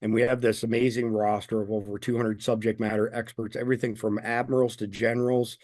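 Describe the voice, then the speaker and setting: A man's voice: level tone, fairly quick, a little mellow, no echo, studio quality. A man being interviewed as a guest, explaining his points confidently and at length. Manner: confident, explanatory, making a pitch. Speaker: guest, survival news founder. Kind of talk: interview answers.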